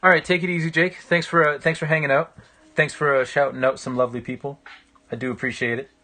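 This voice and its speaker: A man, chatting casually with livestream viewers in a reflective, halting way as he thinks aloud. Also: a little high, flat delivery, bright, forceful tone. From Canada. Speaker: live-streaming painter. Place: small indoor room with a painting on the wall. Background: music.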